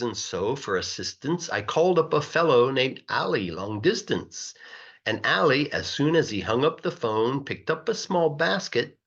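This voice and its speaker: A man narrates a rhyming children's storybook with a highly animated voice of medium pitch. His delivery rises and falls in a sing-song rhythm, with key words stretched for emphasis. The recording sounds a little dull and lo-fi. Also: creaky, breathy edge. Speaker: English teacher reading a children's story aloud.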